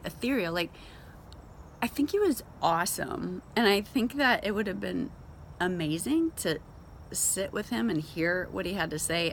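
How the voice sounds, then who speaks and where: Low-voiced woman talking casually, noisy background. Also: creaky. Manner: informal, conversational, emphatic. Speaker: host of a Bible reading show. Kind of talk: casual devotional talk with scripture read aloud.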